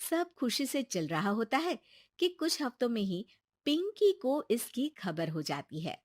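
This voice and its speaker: A woman's voice: fairly high pitch, sing-song, measured pace, no room sound, clean studio sound. A woman narrating a scripted moral story as its storyteller.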